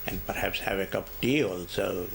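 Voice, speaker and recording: An older retired man, born in India, answering oral history questions in a halting way with many pauses and fillers. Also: low voice, gravelly, fairly bright tone, a little hiss or hum. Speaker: interviewee.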